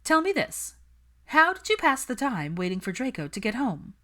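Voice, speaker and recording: A woman's voice: on the high side, big pitch swings, moderate pace, bright, forceful tone, voice pushed up. A woman voicing a brash, teasing young witch who pries at her friend in a smug, dramatic way, in a story reading. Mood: teasing, probing.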